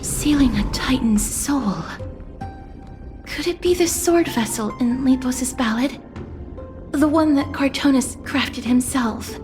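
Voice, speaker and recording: A frail, gentle mystic in a video game. Her high voice is soft, solemn and formal, unfolding slowly over prominent music. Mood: solemn, hushed. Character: gentle, composed, frail mystical woman who calls to souls.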